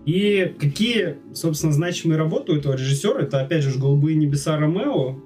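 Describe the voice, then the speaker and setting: Podcast host, male, animated and fairly high voice, chatty storyteller. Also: quick pace, recorded from a distance, soft background music. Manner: retells the plot loosely with fillers. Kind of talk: casual podcast chat.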